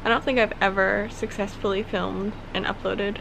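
A woman's voice: mid-range voice, little pitch movement, normal speed, vocal fry. A young woman vlogging casually about her reading from her bedroom, congested and groggy, rambling with fillers. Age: young adult. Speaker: book vlogger. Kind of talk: casual vlog chat. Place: small bedroom indoors. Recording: noisy home recording.

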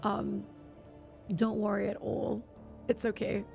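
A woman's voice: mid-range voice, monotone, slow, gravelly, darkish tone, faint music behind. A young woman streaming a game, chatting casually with viewers in a slightly scratchy voice, often stumbling and restarting her sentences. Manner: chatty, stammering restarts, reacting aloud. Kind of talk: casual live-stream chat while gaming.

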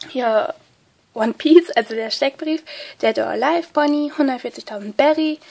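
Excited woman with a stuffy cold. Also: a little high. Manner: chatty, hesitant, many fillers, excited. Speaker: vlogger opening a card-swap letter. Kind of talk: casual unboxing chat, reading letters aloud. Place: indoors at a table, hands-only overhead view.

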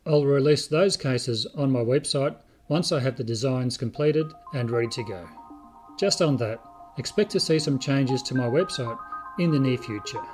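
Older aussie guy doing a step by step explainer, bit of husk in the voice, measured delivery. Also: medium pitch, slightly rough, faint music behind. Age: older, about 60. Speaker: video host, 3D print designer. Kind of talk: scripted explainer narration.

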